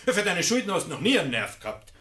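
An actor playing a familiar, nagging café waiter in a radio play. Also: male voice, sits high, sing-song, very fast, sharp tone, slightly rough, full character voice. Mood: dryly nagging.

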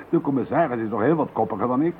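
A man playing a determined police investigator in a radio drama, calm, dry and persuasive. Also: medium pitch, fairly quick, lightly acted. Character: determined middle-aged male police investigator. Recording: tinny narrow-band radio recording with faint hiss.

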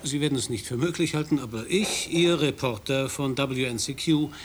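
A man's voice: medium pitch, normal speed, a little hiss or hum, natural voice. A man playing a wry roving reporter. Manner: wry, ironic narration. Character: dry, ironic male American roving radio reporter. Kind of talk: radio play narration.

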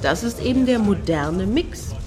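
A woman's voice: mid-range voice, expressive, unhurried, music in the background, close to an everyday voice. A woman acting in a satirical radio play as a wry, opinionated friend who comments knowingly. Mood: knowing, conciliatory.

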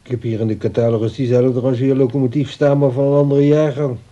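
An actor playing a pedantic, absent-minded husband in a radio play, grumbling and slow to understand. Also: male voice, medium pitch, flat delivery, muffled recording, background noise, natural voice. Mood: puzzled.

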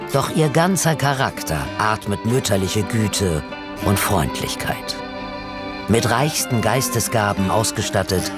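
An actor playing a reverent court chronicler who glorifies his emperor in solemn, florid radio drama narration. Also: fairly low pitch.

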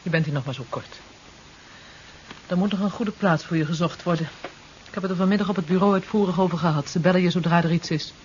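A woman's voice: on the low side, fast talker, a little mellow, natural voice. An actress playing a reassuring, busy career woman in a 1970s radio play. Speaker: actor in a radio drama. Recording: dull, hissy 1970s radio drama tape.

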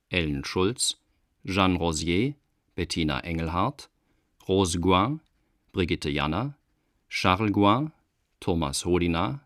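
A man's voice: low-pitched, dry recording, clean studio sound. A man working as a radio announcer, reading scripted credits in a formal style. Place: dry broadcast studio.

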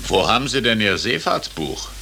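An actor playing an officious, condescending, brusque consul in a 1940s German radio drama. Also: male voice, fairly low pitch, sing-song, normal speed, creaky, over music. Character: officious, dismissive American consul, condescending and evasive. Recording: hissy old radio drama recording.

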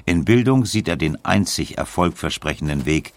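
A man reading scripted documentary narration in a measured, storytelling way. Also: sits low, animated, noisy background.

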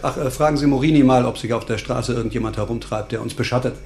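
An actor playing a wry, cynical doctor turned investigator in a radio crime drama, speaking with dry sarcasm. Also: male voice, medium pitch, fast, darkish tone, a little hiss or hum, close to an everyday voice. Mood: quiet, businesslike. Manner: dry, sardonic.

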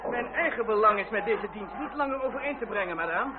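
A man's voice: high voice, lively intonation, fast talker, a crowd in the background. A man acting a manservant in an old radio drama, by turns obsequious, insolent and cowardly. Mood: stiff, formal. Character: manservant, obsequious then insolent and grasping, cowardly when threatened. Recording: tinny, band-limited old radio recording, heavy noise.

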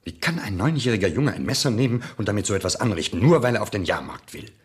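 Authoritative, troubled family man; wide swings of pitch, radio crime play. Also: big pitch swings, quick pace. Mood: grave questioning. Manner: insistent, authoritative. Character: stern, troubled father and husband. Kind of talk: German radio crime drama acting. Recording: 1970s studio radio play recording.

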